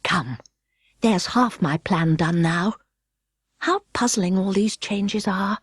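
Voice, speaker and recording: A woman's voice: mid-range voice, slow pace, slightly rough, no echo, studio quality. A woman voicing an earnest, polite and puzzled little girl in a storybook, speaking properly. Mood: musing. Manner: proper, earnest, hesitant when challenged.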